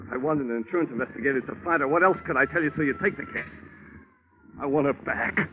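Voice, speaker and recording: A man's voice: medium pitch, quick pace, natural voice. A man acting a blunt, insistent American tough guy desperate for his woman, in a 1950s radio drama. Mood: pleading, insistent. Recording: tinny, noisy old radio broadcast.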